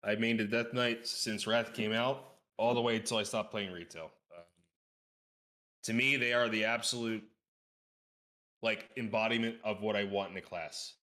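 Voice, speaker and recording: Bright, low-pitched man in gaming chat. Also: fairly even intonation, moderate pace. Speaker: podcast guest. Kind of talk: casual gaming podcast chat.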